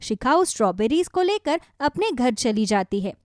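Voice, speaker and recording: A woman's voice: on the high side, sing-song, moderate pace, a little mellow, no room sound, clean studio sound. A woman narrating a scripted children's story.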